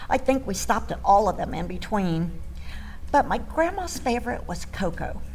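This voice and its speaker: A woman's voice: medium pitch, very animated, moderate pace, a little mellow, poor audio. An older woman performing a nostalgic, grateful granddaughter telling her life story in a sentimental, scripted radio theater monologue. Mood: fond, nostalgic. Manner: reminiscent, sentimental. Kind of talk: scripted first-person storytelling.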